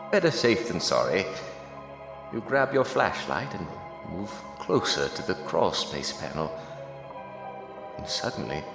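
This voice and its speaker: A man's voice: fairly low pitch, heavily acted. A man playing an ominous storyteller, narrating a horror show introduction with dramatic suspense. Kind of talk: theatrical scripted horror narration. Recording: echoey hall, music behind.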